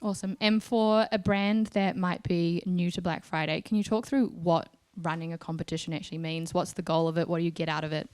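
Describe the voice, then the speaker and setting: A woman's voice: lowish voice, steady tone, brisk, hardly any pauses, a little mellow, smooth. A woman moderating a panel discussion in a conversational way, as its host. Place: live event in front of an audience.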